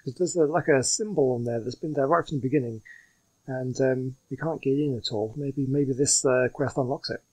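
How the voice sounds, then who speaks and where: Guy doing chill gameplay commentary, kinda husky, a bit wry. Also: medium pitch, moderate pace, warm tone, poor audio. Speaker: gaming YouTuber.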